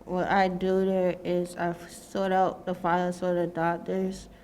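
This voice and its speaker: A nineteen-year-old woman introducing herself as an intern in a matter-of-fact, steady way. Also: fairly low pitch, unhurried, a little hiss or hum. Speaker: program intern. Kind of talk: prepared self-introduction.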